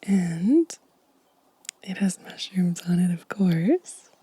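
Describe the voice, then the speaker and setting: A woman whispering gently and softly in an ASMR show-and-tell style, breathy and unhurried. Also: lowish voice, animated. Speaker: ASMR video creator.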